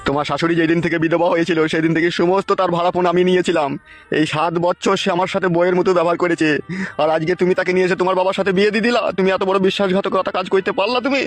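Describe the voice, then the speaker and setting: Man playing a high-pitched, lovesick old villager. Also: steady tone, racing, soft background music, natural voice. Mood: pleading, aggrieved. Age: older. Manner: plaintive, pleading, melodramatic. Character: lovesick bearded older villager, whining and pleading. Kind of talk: Bengali village comedy cartoon dialogue.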